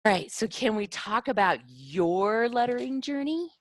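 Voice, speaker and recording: A woman's voice: on the high side, big pitch swings, measured pace, dry recording. A woman author and lettering teacher interviewing her contributors, encouraging and full of affirming replies. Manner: encouraging, warm praise with many affirming "mm-hmm"s. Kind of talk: casual chat.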